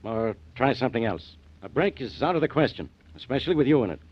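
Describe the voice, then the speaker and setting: Husky, fast-talking police inspector. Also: male voice, mid-range voice, expressive. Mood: urgent. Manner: urgent, insistent. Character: urgent, gruff male police inspector. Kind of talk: 1940s radio acting. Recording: dull vintage radio recording.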